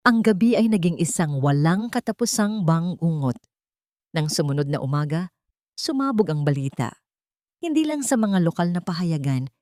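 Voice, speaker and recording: A woman's voice: lowish voice, mellow, no room sound, studio quality. A woman narrator reading a sentimental story as dramatic scripted narration.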